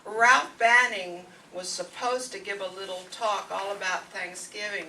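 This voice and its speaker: Woman acting a bright, coaxing sitcom housewife. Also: mid-range voice, fairly even intonation, unhurried, recorded from a distance, slight background noise, low-quality recording. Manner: persuasive, take-charge. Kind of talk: old-time radio sitcom acting.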